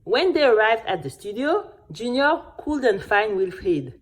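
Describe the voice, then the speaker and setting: A woman's voice: fairly high pitch, unhurried, rough recording. A woman playing a spirited young girl who recounts her adventure to friends in a chatty, dramatic first-person audio story. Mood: chatty, eager. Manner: animated, chatty, addresses listeners directly.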